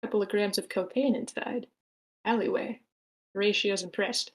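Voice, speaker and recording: A woman's voice: mid-range voice, fairly even intonation, moderate pace. A woman reading out scripted stage directions as the narrator of a screenplay table read.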